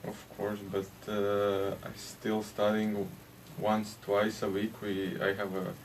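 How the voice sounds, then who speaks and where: A Latvian man, a hockey player, answering interview questions in English with a Latvian accent, haltingly and with many hesitations. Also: lowish voice, monotone. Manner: halting, hesitant, with frequent "uh" and restarts. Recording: distant mic with faint background noise.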